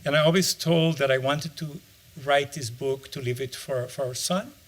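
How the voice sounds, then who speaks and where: A man's voice: medium pitch, moderate pace. Reflective middle-aged man. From Brazil. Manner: reflective, searching for words. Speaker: author and anthropologist. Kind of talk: interview answer.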